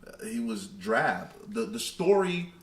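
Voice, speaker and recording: A man's voice: medium pitch, very animated, slow. A man co-hosting a podcast, talking casually and emphatically with slangy opinions. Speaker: podcast co-host. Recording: roomy echo, clear background noise.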